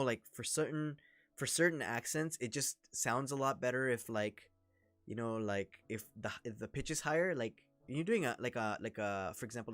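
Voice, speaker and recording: A man's voice: mid-range voice, no echo. A man hosting a casual livestream, talking in a rambling, chatty way with many fillers. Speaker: livestream host and scam baiter.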